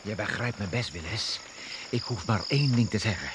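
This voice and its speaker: Sneering actor as suspicious survivor, noisy background. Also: male voice, fairly low pitch, moderate pace, close to an everyday voice. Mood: confrontational. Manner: sneering, confrontational. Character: aggressive, suspicious male crash survivor. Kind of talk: radio drama acting.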